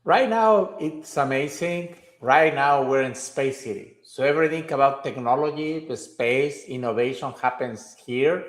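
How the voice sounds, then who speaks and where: A man's voice: medium pitch, a little slow, hardly any pauses. A man from Mexico, a guest answering interview questions in a friendly, enthusiastic way. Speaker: guest, aerospace CEO. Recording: echoey room.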